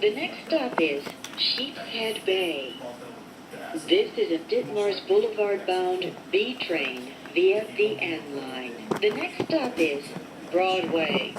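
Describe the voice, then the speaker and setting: A woman reading scripted train announcements in a measured, formulaic manner, in short separate phrases. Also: on the low side, very animated, crowd noise, rough recording. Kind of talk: recorded transit announcement, scripted.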